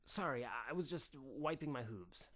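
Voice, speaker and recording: A man acts a grown stallion son in an audio play. He is anxious and overprotective, hesitant, with pitch that moves up and down a lot. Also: lively intonation, normal speed, a bit bright, lightly acted. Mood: apologetic. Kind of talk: fan audio drama acting.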